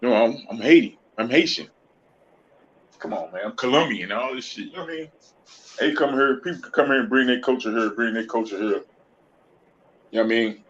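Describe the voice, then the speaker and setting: A man in casual chat with a laid-back, drawn-out way of talking. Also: medium pitch, fairly bright tone. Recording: dull lo-fi line.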